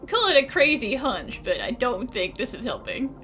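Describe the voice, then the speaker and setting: Gamer girl streamer, adult woman, sarcastic casual commentary, lively n bright, faint music behind. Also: fairly high pitch, normal speed. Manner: wry, sarcastic asides. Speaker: gaming streamer.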